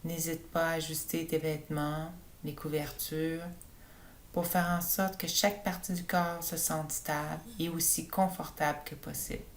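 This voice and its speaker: A woman yoga teacher leading a guided relaxation for sleep in a slow, calm, soothing manner. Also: low-pitched, slight background noise. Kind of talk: guided meditation narration for sleep.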